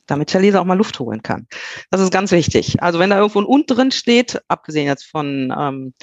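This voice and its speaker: A man's voice: high-pitched, no echo, highs cut off. A man talking as a guest expert on copywriting, giving blunt, punchy advice to listeners with frequent filler sounds.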